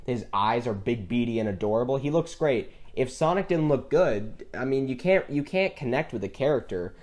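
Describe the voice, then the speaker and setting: A man's voice: medium pitch, lively intonation, moderate pace, darkish tone. A man co-hosting an enthusiastic, casual discussion about films, opinionated and stressing his points with repetition. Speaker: podcast co-host.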